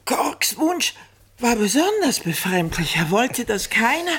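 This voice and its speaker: A woman's voice: on the high side, very animated, a little slow, a bit bright, slightly rough, heavily acted. A woman playing a haughty elderly madame who rambles theatrically about her past in a radio play. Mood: grand storytelling. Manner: rambling, theatrical, breaking off into gasps and giggles.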